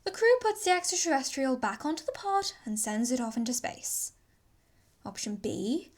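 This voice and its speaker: A woman's voice: high-pitched, sing-song, normal speed. A woman playing a precise, unemotional female ship's computer with a formal manner in an audio drama. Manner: formal, matter-of-fact.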